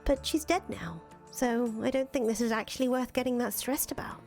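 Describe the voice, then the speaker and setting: A woman playing a sceptical, worried confidante in a visual novel, questioning and expressive, with a slightly husky voice. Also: fairly high pitch, moderate pace. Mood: doubtful, soothing. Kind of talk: acted reading of visual novel dialogue. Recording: music under the voice.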